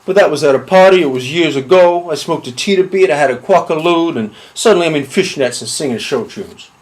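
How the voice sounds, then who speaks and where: Shady, blustering male mobster, acted by a man: higher pitch, loud, rambling, comic self-importance. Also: fairly quick, natural voice. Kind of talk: comic monologue.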